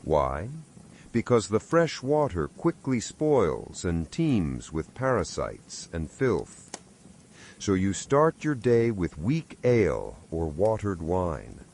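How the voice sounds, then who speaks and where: Male storyteller, low register, very animated intonation, wry scripted narration. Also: slow, creaky, a little hiss or hum. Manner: wry, sardonic second-person storytelling. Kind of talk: scripted bedtime history narration.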